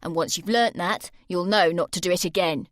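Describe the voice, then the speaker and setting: A woman's voice: on the low side, moderate pace, no room sound, studio quality, lightly acted. A woman reading aloud and voicing a cruel, bullying teenage boy with a cold, sneering delivery.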